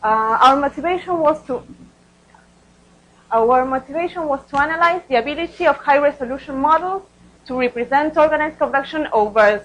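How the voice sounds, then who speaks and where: A woman's voice: high-pitched, poor audio. A woman researcher presenting study results at a conference, speaking hesitantly and often repeating words.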